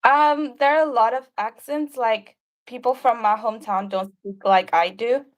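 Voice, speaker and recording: A woman's voice: a little high, moderate pace. Young South African woman, rambling casually. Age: young adult. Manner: rambling, frequent "like". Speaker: livestream guest, university student. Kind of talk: casual chat. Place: video call.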